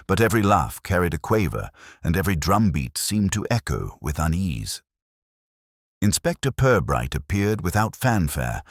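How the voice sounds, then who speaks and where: A man reading a scripted mystery story in a measured, wry, literary way. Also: a bass voice, no room sound, studio quality. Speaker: narrator.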